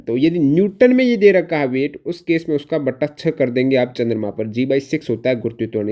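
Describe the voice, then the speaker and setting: A man with a soft, mellow voice at a medium pitch teaches an exam review lesson. He poses questions and then repeats the answers for emphasis, dropping English technical terms into his explanations.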